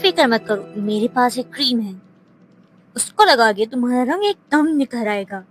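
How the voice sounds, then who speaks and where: A woman playing a sharp-tongued, needling female relative in an animated moral story, with theatrical, pointed delivery. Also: a little high, normal speed, faint music behind, close to an everyday voice, poor audio.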